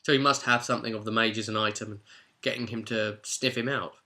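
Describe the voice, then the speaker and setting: A man giving an animated, chatty, informal recap of a role-play session as game master. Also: fairly low pitch, fast. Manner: chatty, acts out players' exchanges.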